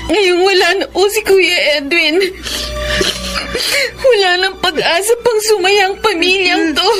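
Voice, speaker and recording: A woman's voice: very high voice, measured pace, music in the background. A woman acting a despairing, grieving young Filipino daughter in a radio drama, tearful.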